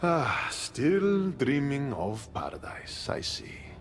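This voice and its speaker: An actor playing a smug, suavely mocking god of the underworld in a video game, sardonic and theatrical. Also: male voice, medium pitch, very animated, slow, faint music behind. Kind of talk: dramatic video game acting.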